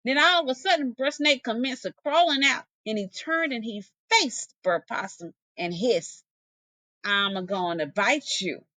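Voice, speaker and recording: A woman's voice: high voice, lively intonation, moderate pace, few pauses, bright, forceful tone, no room sound. A woman narrating a folktale expressively, with folksy dialect phrasing and dramatic delivery. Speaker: storyteller, English teacher.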